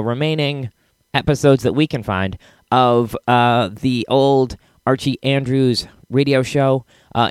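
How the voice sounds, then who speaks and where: A man's voice: medium pitch, no echo. Dry-sounding man hosting a podcast. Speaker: podcast host. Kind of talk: casual scripted podcast introduction. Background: music.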